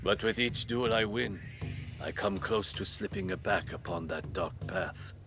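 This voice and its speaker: An actor playing a proud, remorseful samurai duelist in a video game, speaking formally and with measured weight. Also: male voice, low-pitched, over music. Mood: solemn, reflective. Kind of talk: dramatic video game acting.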